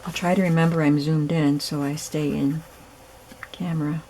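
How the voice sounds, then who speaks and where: A woman hosting a crafting video, hoarse and raspy from lack of sleep, chatting casually as she works. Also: low-pitched, moderate pace, background noise. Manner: relaxed, chatty, thinking aloud while working. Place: home craft room.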